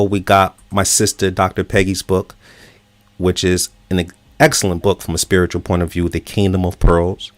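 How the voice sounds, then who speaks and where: A man's voice: low voice, no echo. A man hosting a relationship talk show, earnest and encouraging, using filler words as he talks.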